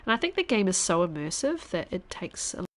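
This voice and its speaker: A woman in her thirties, a stuffy-nosed streamer chatting casually while she plays, thinking aloud and now and then dropping to a quiet whisper. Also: lowish voice, very animated, a little fast. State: congested, stuffy nose. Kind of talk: casual live-stream chat while gaming.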